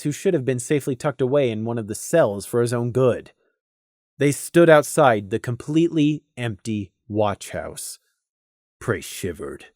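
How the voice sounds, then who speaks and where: A man reading a scripted story as a narrator, deliberate and expressive, with dramatic emphasis. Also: medium pitch, no echo, studio quality. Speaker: audiobook narrator.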